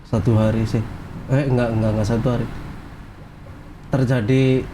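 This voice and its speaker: A man's voice: mid-range voice, flat delivery, moderate pace, noisy background. Man from Cilacap giving plain brief answers. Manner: plain, brief answers, retelling events step by step. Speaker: guest. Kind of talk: casual interview chat.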